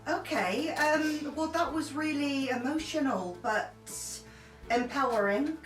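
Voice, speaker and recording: A woman's voice: sits high, slow. Supportive woman host, echoey far-off mic. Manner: supportive, affirming interjections. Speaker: interview host. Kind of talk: interview questions and affirmations. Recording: echoey room, mic far off, music underneath.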